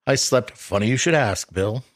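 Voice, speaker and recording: A man in his forties from the Boston area, a radio DJ, telling stories in a dry, self-deprecating way with halting restarts. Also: medium pitch. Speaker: radio DJ guest. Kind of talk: casual interview chat.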